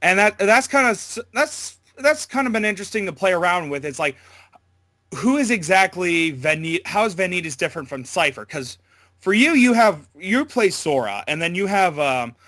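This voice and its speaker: A man speaks casually as an interview guest, in a bright and fairly high voice. He is hesitant and informal, rambling as he explains himself. The sound is close and dry, with very little room. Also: very animated, normal speed, no echo. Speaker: amateur voice actor being interviewed.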